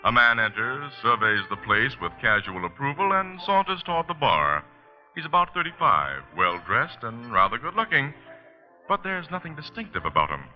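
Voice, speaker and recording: A male announcer from a 1940s radio drama, heard on a muffled old recording. He narrates in a polished, scripted manner, with lively swings in pitch and music playing faintly underneath. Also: normal speed.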